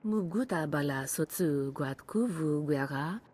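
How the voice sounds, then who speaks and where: A callous alien researcher in a video game. The voice is very low for a female, and the delivery is detached and unhurried. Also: expressive, a little mellow, slightly rough, full character voice. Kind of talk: scripted video game dialogue.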